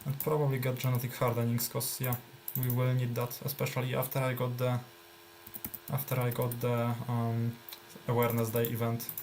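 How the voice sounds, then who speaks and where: A man's voice: mid-range voice, completely flat delivery, moderate pace, a little mellow, slight background noise. A man with a Polish accent, native Polish speaker, casually commenting on his own gameplay while thinking aloud with fillers. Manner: thinking aloud, many fillers and trailing phrases. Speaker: gameplay streamer.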